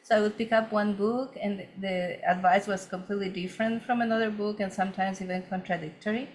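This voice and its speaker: A woman's voice: mid-range voice, fairly even intonation, brisk. A woman from Ecuador, a feng shui consultant, telling her story earnestly in a conversational interview with many fillers and restarts.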